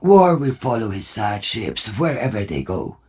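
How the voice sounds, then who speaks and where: A prophetic leader of a futuristic war cult in a video game. The voice is low and husky, swinging widely in pitch. The delivery is formal, deliberate and full of portent. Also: male voice, huge pitch swings, darkish tone, exaggerated voice. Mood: resolute. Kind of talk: scripted video game character dialogue.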